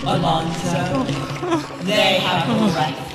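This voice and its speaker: A woman playing a vengeful spirit of fate in a Shakespearean radio play, mocking and menacing, drawing out each word like an incantation. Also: fairly low pitch. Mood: solemn, accusing. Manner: slow, drawn-out, incantatory cackling menace. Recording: echoing, distant voice under loud music.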